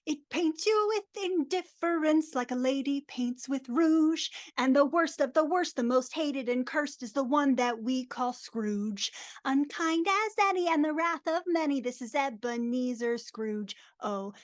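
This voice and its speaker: A woman playing gossipy townsfolk, reciting rhyming lyrics in a deliberate rhythm during a live reading. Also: high-pitched, expressive. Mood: scornful, gossipy. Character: gossipy townsfolk chorus mocking a miser. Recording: online video call track.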